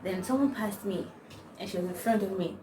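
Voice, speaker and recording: A woman's voice: mid-range voice, a little fast, dark tone. Young nigerian woman vlogging, says like a lot, slangy, kinda far off the mic, noisy. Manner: rambling storytelling, constant "like", slangy. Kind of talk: casual chat to camera. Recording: distant mic, noisy background.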